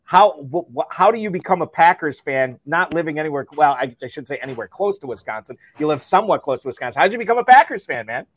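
A man's voice: a little high, expressive, quick pace, few pauses. A man co-hosting a casual interview, friendly, often restarting his sentences. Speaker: podcast co-host. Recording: very dry close sound.

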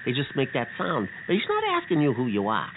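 A man's voice: mid-range voice, big pitch swings, fast talker. Man as a fussy, stammering, exasperated cartoonish hero. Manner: stammering, fussy, repeats himself. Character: anxious, exasperated male rescuer in a children's story. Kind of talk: improvised children's radio drama. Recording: tinny, noisy radio-like sound.

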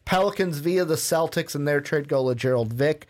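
Man, podcast co-host, reading a list, casual, slightly higher pitch, ums here and there. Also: normal speed. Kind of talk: casual podcast chat, reading out draft picks.

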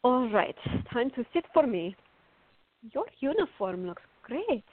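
Woman acting fiery young artist, husky, thin sound. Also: on the high side, sing-song, measured pace. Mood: friendly, curious. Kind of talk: radio play acting. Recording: thin, phone-like audio.

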